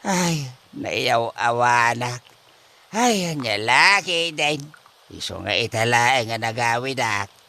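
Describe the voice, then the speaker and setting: A man's voice: mid-range voice, expressive, takes it slowly, bright, forceful tone, full character voice. A man playing a forgetful, evasive old husband in a comedy radio drama, stammering his way through excuses. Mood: evasive, feeble. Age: older. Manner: bumbling, stammering evasions with many interjections.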